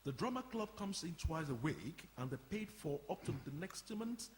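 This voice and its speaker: A man's voice: on the high side, big pitch swings, moderate pace. An actor playing a courteous, practical community centre man who explains things politely in a radio play. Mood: upbeat, explanatory. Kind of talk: radio drama acting before an audience. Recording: reverberant hall, faint background noise.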